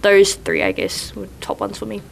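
A young woman from New Zealand with a New Zealand accent, a college basketball player answering interview questions in a relaxed way, laughing often and using lots of fillers. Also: medium pitch, normal speed, vocal fry. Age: young adult.

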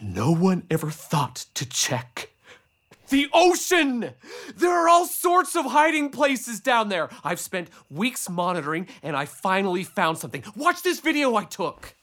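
An actor playing an excitable, obsessive amateur UFO hunter in a family audio drama, urgent and insistent. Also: male voice, high voice, measured pace, full character voice. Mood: eager, intense.

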